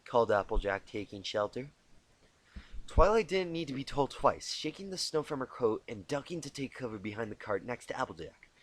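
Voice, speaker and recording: A man's voice: mid-range voice, sing-song, a little fast. A man reading a story aloud informally, self-deprecating, stumbling and correcting himself as he goes. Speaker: amateur fan fiction reader. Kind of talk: informal storybook narration with asides. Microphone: cheap home microphone.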